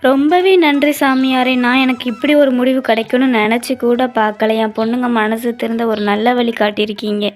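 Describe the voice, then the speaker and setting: High-voiced exasperated mother, acted by a woman. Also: steady tone, brisk, few pauses, faint music behind, natural voice. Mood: grateful. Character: exasperated, scolding mother of two young daughters. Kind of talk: dramatized animated moral story.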